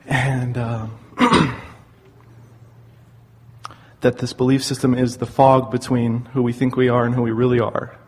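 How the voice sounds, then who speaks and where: A man asking an earnest personal question as an audience member, speaking in a hesitant, halting way. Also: medium pitch, steady tone, a little mellow, breathy edge.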